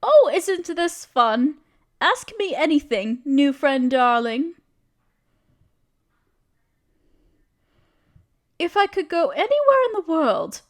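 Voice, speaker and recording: A woman's voice: high voice, big pitch swings, a little slow. A woman playing a kindly, playful witch in a scripted roleplay audio drama, speaking in a theatrical, coy way with old-fashioned endearments. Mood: cheerful, friendly.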